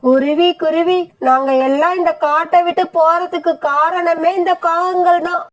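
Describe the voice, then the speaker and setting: A woman's voice: very high-pitched, steady tone, measured pace. A woman voicing an earnest female forest bird in a children's cartoon story. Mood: accusing.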